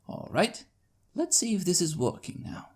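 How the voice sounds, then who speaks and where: A woman's voice: lowish voice, huge pitch swings, moderate pace, breathy edge, voice pushed up, full character voice. A woman voicing a mild, thoughtful pony clockmaker who speaks politely and explains things precisely in a story reading. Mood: reassuring. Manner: polite, explanatory, slightly pedantic.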